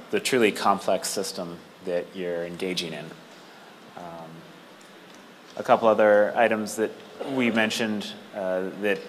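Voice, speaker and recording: Male workshop spokesperson, very low pitch, halting technical delivery, light room noise. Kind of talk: informal spoken report to a meeting. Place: meeting room at an event. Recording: noisy room with clear background noise.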